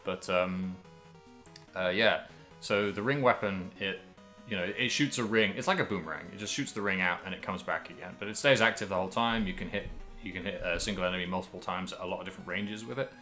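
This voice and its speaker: A man co-hosting a podcast, casually talking through video games in a halting, thinking-aloud way. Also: low voice, sharp tone, soft background music. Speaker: podcast host. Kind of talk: casual video game discussion.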